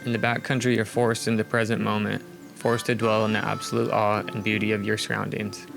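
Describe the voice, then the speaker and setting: A man reading scripted, reflective voice-over narration in a calm, measured way. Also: lowish voice, creaky, music in the background.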